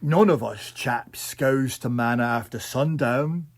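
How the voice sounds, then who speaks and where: Guy doing a sullen rustic village bloke, low and slow, stubborn, superstitious. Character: stubborn, superstitious village man giving evidence.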